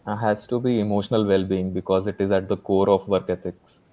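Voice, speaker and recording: A man's voice: low voice, fairly even intonation, fairly quick, warm tone. A man answering questions as a guest on a panel.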